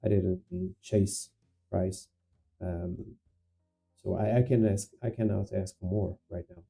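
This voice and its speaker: A man with a Portuguese accent speaks low and slowly, his voice gravelly and very dark. He hesitates often and repeats words while he walks through his trades and answers viewers. Faint music plays behind him. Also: fairly even intonation. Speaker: day trader livestreaming to viewers. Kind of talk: live stream commentary and viewer chat.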